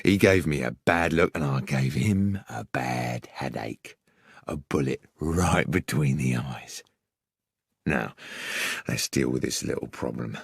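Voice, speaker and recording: A man voicing a gruff, boastful murderer in an audiobook story, speaking with menace. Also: a bass voice, slow, no room sound, clean studio sound, pitched below the performer's own voice, exaggerated voice. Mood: menacing, boastful. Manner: threatening, boastful. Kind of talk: audiobook character acting.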